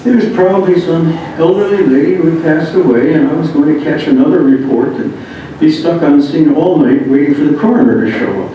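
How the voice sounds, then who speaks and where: A man reads a written first-person memoir aloud, halting at times. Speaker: reader of a memoir. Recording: muffled, very echoey room, distant mic, rumbling noise.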